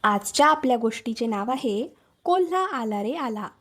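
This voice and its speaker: High-pitched woman telling children's stories. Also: animated, moderate pace, a bit bright. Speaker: storyteller, channel host. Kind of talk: scripted children's story narration.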